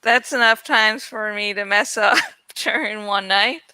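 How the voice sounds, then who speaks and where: A woman's voice: mid-range voice, measured pace, bright, forceful tone, clear, resonant tone, dry recording, poor audio. A woman reading a storybook aloud in a dramatic style, an amateur narrator who pokes fun at her own slips. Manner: self-deprecating, stumbles and jokes about slips. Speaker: amateur audiobook reader. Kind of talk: dramatic storybook narration with self-mocking asides.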